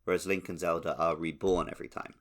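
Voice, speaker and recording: A man's voice: sits low, fairly even intonation, fast talker. A man co-hosting a podcast, talking casually about video games. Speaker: podcast co-host.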